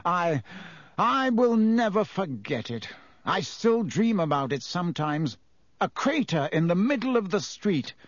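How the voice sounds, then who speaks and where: A man's voice: a little high, dry recording, voice pushed up, heavily acted. A man playing a pompous, self-important older government minister in an audiobook, grave and formal in delivery. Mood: sharp, haunted, then grave, shaken.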